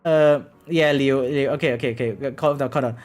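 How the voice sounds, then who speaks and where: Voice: mid-range voice, steady tone, moderate pace, a little mellow. A streamer in their thirties giving casual live commentary, rambling and often tagging sentences with "right?". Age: 30s. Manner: rambling, keeps adding "right?". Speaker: variety game streamer. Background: faint music.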